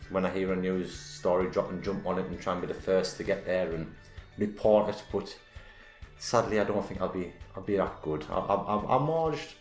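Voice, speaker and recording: A deep-voiced man hosts a casual channel update, talking in a loose, rambling way and often restarting his sentences. His voice sits low and carries a roomy echo. Faint music plays behind him. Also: a little mellow. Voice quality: self-described deep voice. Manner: rambling, self-correcting, enthusiastic. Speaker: YouTube channel host.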